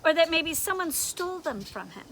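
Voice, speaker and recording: A woman's voice: extremely high pitch, very animated, normal speed, fairly bright tone, a little hiss or hum, exaggerated voice. A woman voicing a stern, mocking conscience that scolds and interrogates, in a storybook read-aloud. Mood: mocking scolding. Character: scolding, bossy conscience, a voice inside the head.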